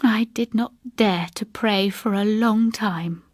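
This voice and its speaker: Sombre older spinster, played by a female reader, grave and halting audiobook ghost tale, breathy, dry close sound. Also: mid-range voice, dry recording. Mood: haunted, grieving. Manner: hushed, grave, halting delivery. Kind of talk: dramatic ghost story reading.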